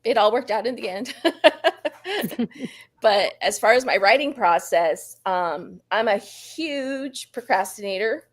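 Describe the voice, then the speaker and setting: A woman's voice: a little high, expressive, slow pace. A woman author speaking as a guest in a casual book chat over a video call, candid and self-deprecating. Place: live online video call.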